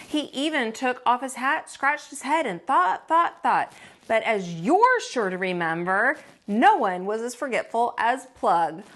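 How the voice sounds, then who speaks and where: Lively, high-voiced woman reading a storybook. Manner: folksy, expressive, dramatic emphasis on repeats. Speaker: teacher reading a storybook aloud. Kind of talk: animated read-aloud storytelling.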